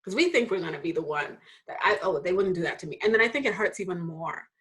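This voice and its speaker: Lively woman hosting a faith chat. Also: fast. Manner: lively, jumps into high voices when quoting people, laughs often. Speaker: host. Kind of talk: casual faith conversation.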